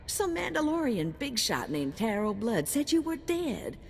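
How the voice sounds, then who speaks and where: An eager young sidekick in a video game. Her delivery is brash and very animated. Faint background noise and long reverb surround her. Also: a little high, unhurried, gravelly, heavily acted. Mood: excited. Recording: noisy ambience, long reverb.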